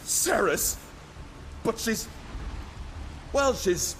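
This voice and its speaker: An actor playing a loyal, hot-headed young island warrior in a video game, with an earnest, colloquial way of telling a tale. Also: male voice, sits high, animated, extremely slow, a bit bright, a little hiss or hum, full character voice. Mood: alarmed, indignant. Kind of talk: dramatic video game voice acting.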